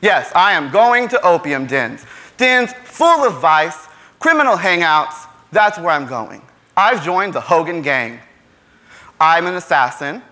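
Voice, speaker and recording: Man playing frustrated young working-class man, theatrical monologue, defiant, impassioned, rhetorical, forceful bright tone, raised pitch. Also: sing-song, unhurried, full character voice. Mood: angry, sarcastic.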